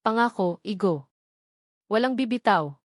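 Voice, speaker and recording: A woman's voice: mid-range voice, lively intonation, a little slow, dry recording, studio quality. A woman voicing an anxious, devoted Filipino daughter and sweetheart in a romance audio story. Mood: tender, moved.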